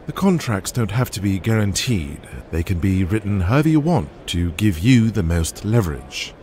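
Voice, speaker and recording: Man playing a folksy wrestling adviser, audio drama, persuasive with some hesitations, lively pitch swings, faint background noise. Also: normal speed, close to an everyday voice. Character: shrewd, folksy male wrestling business adviser.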